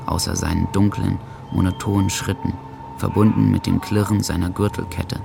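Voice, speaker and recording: An actor playing a brooding teenage boy who narrates his dark story in a sombre, deliberate way, with a gravelly voice. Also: deep voice, little pitch movement. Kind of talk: radio play narration. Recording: loud music behind the voice.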